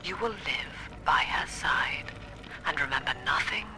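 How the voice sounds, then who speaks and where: An actor playing a coldly persuasive interrogator in a video game, speaking in a measured, formal and insistent way. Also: male voice, fairly high pitch, lively intonation, in a whisper, music in the background, rough recording. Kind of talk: dramatic video game voice acting.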